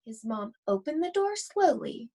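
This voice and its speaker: A woman reading a children's storybook aloud expressively, with deliberate, suspenseful phrasing and drawn-out words. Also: on the high side, very animated, creaky, mellow. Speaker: school library read-aloud host.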